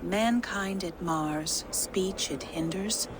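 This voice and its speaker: An actress playing a serious, dry-witted young giantess in a video game, speaking bluntly and formally. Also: female voice, lowish voice, takes it slowly, noisy background. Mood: guardedly playful.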